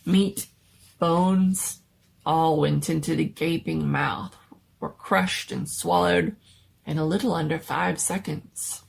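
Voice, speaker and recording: A woman's voice: low voice, creaky, a little hiss or hum. A woman narrating a scary story as a dramatic reading, in a scripted storytelling style.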